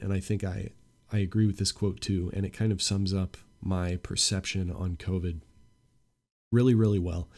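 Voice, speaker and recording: Young male podcast host, congested, low-pitched, earnest and opinionated. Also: dark tone. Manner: earnest, opinionated, grows emphatic. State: stuffy nose from a cold. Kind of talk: solo podcast monologue.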